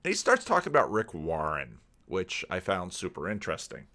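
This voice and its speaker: Bright-voiced man, rambling commentary. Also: low-pitched, huge pitch swings. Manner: rambling, halting, restarts sentences. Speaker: YouTube commentator and pastor. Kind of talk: casual unscripted commentary.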